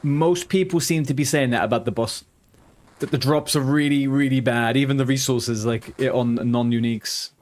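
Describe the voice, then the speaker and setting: Chatty man with a softened London accent. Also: mid-range voice, fairly even intonation, normal speed. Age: 30s. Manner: chatty and rambling, answers viewers' chat aloud. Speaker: game streamer. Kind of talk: casual live-stream chat.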